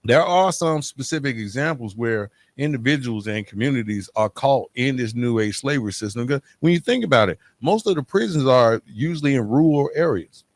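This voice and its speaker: A man's voice: mid-range voice, animated, fairly quick, hardly any pauses, no room sound. A middle-aged man hosts a conversational podcast. He makes his points with emphasis and keeps checking that the listener follows. The sound is dry, with very little room. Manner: emphatic, punctuates points with tag questions. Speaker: podcast host.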